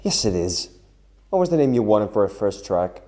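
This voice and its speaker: A man's voice: fairly low pitch, sing-song, moderate pace, mellow. A man acting an earnest, fussy young rock drummer in a sitcom table read. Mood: exasperated.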